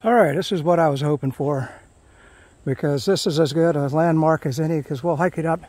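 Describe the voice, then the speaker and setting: A man's voice: fairly high pitch, a little fast, darkish tone, breathy edge. A middle-aged man talks casually while hiking a forest trail, rambling with many fillers and restarts. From Florida. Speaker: hiking vlogger.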